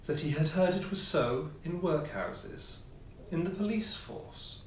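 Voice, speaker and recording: A man reading a classic ghost story as scripted narration, with measured, theatrical phrasing and pauses. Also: fairly high pitch, lively intonation. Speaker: audiobook reader, amateur player. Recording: roomy echo, distant mic, faint noise.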